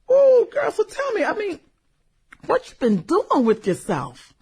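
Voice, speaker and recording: A woman's voice: very high voice, wildly swooping pitch, takes it slowly, warm tone, full character voice. A woman acting in a satirical radio drama as a vain, gossipy former cheerleader, with a sassy, drawling delivery and theatrical exclamations. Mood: gossipy teasing.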